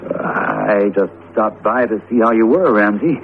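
An actor playing a loyal, plain-spoken best friend in a 1970s radio drama, talking in a colloquial, chummy way. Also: male voice, on the low side, measured pace, gravelly. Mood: nervous. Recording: narrow-band, faintly noisy old radio broadcast.

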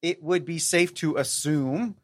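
A man as a podcast guest, giving opinionated, emphatic book commentary with deliberate pauses. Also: a little high, dry recording.

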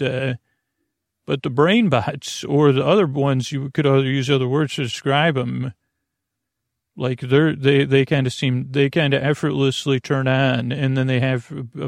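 Man, creaky gravelly voice, mid pitch, close dry sound, meandering bedtime podcast host, halting, whimsical, reassuring. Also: no room sound. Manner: meandering, halting, repeats and trails off mid-sentence. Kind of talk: rambling bedtime sleep podcast monologue.

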